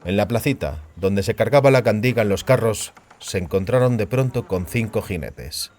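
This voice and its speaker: A man's voice: fairly low pitch, lively intonation, normal speed, darkish tone. A man narrating a fantasy novel in a scripted, literary audiobook style. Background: music.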